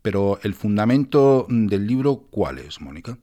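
A man interviewing a guest, asking measured questions and giving short backchannel replies. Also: on the low side, sing-song, mellow.

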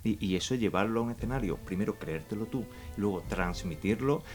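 Guy from granada, theatre actor, lowish voice, explains stuff earnestly, faint music behind. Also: brisk, darkish tone. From Granada, Spain. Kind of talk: interview guest talk.

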